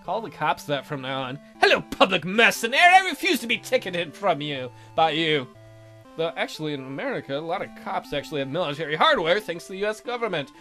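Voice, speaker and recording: A woman's voice: on the low side, sing-song, quick pace, bright, forceful tone, soft background music. A woman hosting a let's play, narrating a visual novel and chatting with joking, rambling asides.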